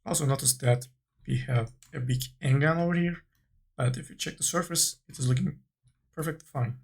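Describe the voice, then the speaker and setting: A man giving an unscripted software tutorial, thinking aloud in short, halting phrases as he instructs. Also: mid-range voice, gravelly, slightly rough.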